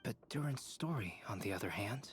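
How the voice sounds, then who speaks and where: An actor playing a calm, scholarly young man in a video game, explaining his reasoning in a measured way. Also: on the low side, slight background noise, close to an everyday voice. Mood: thoughtful. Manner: measured, analytical.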